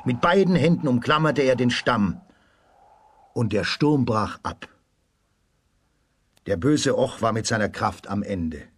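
A man with a husky voice narrating a children's story with dramatic emphasis. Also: mid-range voice, lively intonation, unhurried, long pauses, no echo. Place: dry studio.

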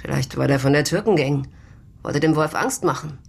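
An actress in a German radio drama playing a tough, guarded prostitute who talks curtly and bluntly. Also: female voice, low-pitched, moderate pace, gravelly, breathy edge, exaggerated voice. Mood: defiant, confiding. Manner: curt, wary, blunt. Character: tough, guarded red-haired brothel prostitute, streetwise and proud.

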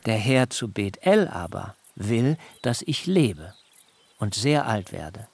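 Slow, gravelly patriarch shepherd Jakob. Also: male voice, on the low side, very animated, exaggerated voice. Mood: solemn, resolute. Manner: measured, solemn, deliberate phrasing. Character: Jakob, weary, solemn patriarch shepherd pleading his case. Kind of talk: literary radio drama.

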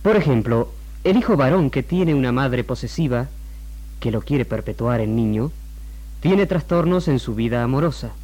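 Mellow Argentine doctor, lecturing. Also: male voice, medium pitch, animated, normal speed, husky. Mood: formal, explanatory. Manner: formal, lecturing. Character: measured, didactic doctor interviewed on television about marriage. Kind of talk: 1960s Argentine radio drama acting.

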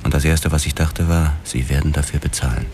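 An actor playing a grim, weary male police detective, narrating a hard-boiled crime radio play in a measured way. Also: a bass voice, fairly even intonation, background noise. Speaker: first-person narrator. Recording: music loud behind the voice.

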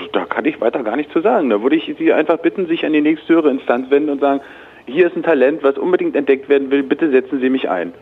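A man's voice: fairly high pitch, breathy edge. Tinny phone voice of a wordy man. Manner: wordy, hedging, politely dismissive. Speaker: dubbing studio employee taking a call. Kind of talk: phone conversation. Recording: tinny narrow-band phone line.

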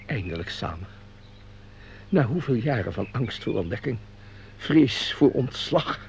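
An actor playing a formal, obsequious office clerk with a mannered, theatrical delivery in a Dutch radio play. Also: male voice, lowish voice, creaky. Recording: dull, noisy old radio recording.

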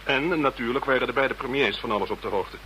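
Pompous British minister, male actor: grave, polite, official, crisp-edged mid-range voice for radio drama. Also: mid-range voice, quick pace, sharp tone, slight background noise, natural voice, rough recording. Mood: grave, courteous. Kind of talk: radio play acting.

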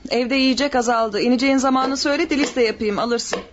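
An actress playing Maria, a devoted mountain wife, in a classic radio play. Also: female voice, high voice, steady tone, a little fast, a little hiss or hum, lightly acted. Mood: homely. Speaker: radio drama actor.

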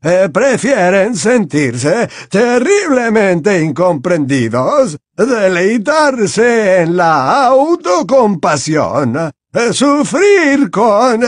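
A man's voice: high-pitched, sing-song, drawn-out words, no room sound, clean studio sound, pitched above the performer's own voice, full character voice. A male narrator voicing a malicious, sneering old wizard in a portrait, drawling spitefully. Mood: mocking.

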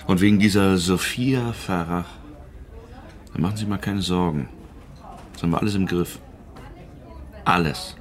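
Actor as smug, very deep-voiced security policeman. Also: close to an everyday voice. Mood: smug, knowing. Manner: condescending, measured, evasive. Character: smug, evasive male state-security policeman, condescending and unruffled. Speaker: actor playing a police officer. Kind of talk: naturalistic radio crime drama acting.

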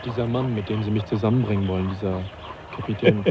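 An actor playing an uneasy, dryly ironic young naturalist in a radio play. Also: male voice, on the low side, steady tone, fast, noisy background, close to an everyday voice. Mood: wry. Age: young adult. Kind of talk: 1980s radio play acting.

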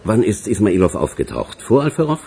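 Pompous senior detective for radio crime drama: low, lively intonation, formal, lecturing, condescending. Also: male voice, fairly quick, creaky, husky, exaggerated voice. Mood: formal probing. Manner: formal, pedantic, interrogating. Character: pompous, condescending veteran male police investigator.

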